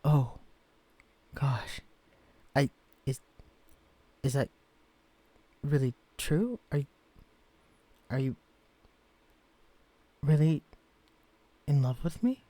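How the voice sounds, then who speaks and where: A man voicing a gentle, shy young man who has been shrunk to tiny size, speaking softly and stammering when flustered. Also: medium pitch, lively intonation, very slow, long pauses, no echo. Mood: tender. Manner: soft-spoken, hesitant, stammering when flustered. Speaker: character in a listener-directed romance audio. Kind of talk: audio roleplay drama.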